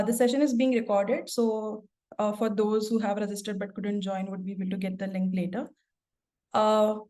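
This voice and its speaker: A woman's voice: medium pitch, mellow. A woman hosting a work webinar, talking with frequent fillers and restarts. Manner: hesitant, many fillers and restarts. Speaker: webinar host, product manager. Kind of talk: webinar moderation.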